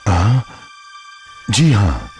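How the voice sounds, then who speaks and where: A man's voice: fairly low pitch, huge pitch swings, very slow, a little mellow, heavily acted. A man voicing a kindly, middle-aged village chief and protective father in a cartoon mythological drama, earnest and formal. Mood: troubled, hesitant. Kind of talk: animated mythological drama dub. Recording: background music under dialogue.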